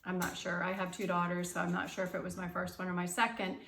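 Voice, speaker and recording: A woman's voice: lowish voice, monotone, fast, vocal fry, fairly bright tone, reverberant. A woman speaking informally as an elementary school teacher, chatting and reading a storybook aloud to children.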